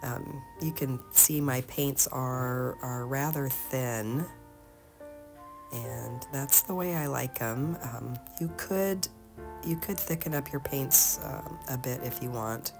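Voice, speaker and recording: Very low-voiced, slightly hoarse woman. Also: slow pace, music in the background. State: slightly hoarse from being sick. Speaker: host of an art tutorial channel. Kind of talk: casual painting tutorial narration.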